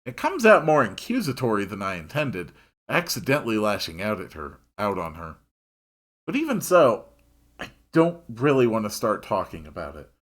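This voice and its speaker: A man reading a story aloud in an expressive narrating style. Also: on the low side, moderate pace.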